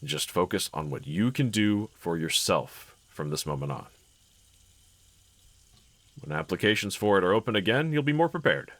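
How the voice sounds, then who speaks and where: A man playing a gentle, encouraging husband in an intimate scripted roleplay audio, speaking in a considerate, reassuring manner. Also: sits low, big pitch swings, moderate pace, pauses often, no echo, full character voice. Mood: encouraging, supportive. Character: gentle, encouraging adult husband, calm and caring. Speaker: character audio voice actor.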